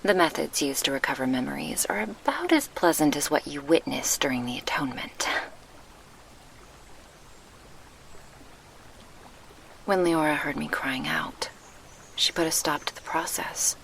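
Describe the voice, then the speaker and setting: A gentle, grieving succubus guardian, acted by a woman in an ASMR roleplay. The voice is fairly low and soft, with a gravelly creak under measured, old-fashioned diction. It sits close on a binaural mic over faint background noise. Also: very animated, heavily acted. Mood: pained, hesitant. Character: gentle, wistful female succubus guardian, grieving her lost past. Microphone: close binaural microphone.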